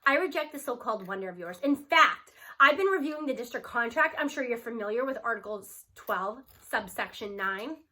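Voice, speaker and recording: A woman's voice: on the high side, lively intonation, moderate pace, pushed and bright. A woman voicing a cranky, sarcastic male school superintendent in a storybook, with a dry, dismissive, sneering manner. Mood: smug, sarcastic. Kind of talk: storybook character voice.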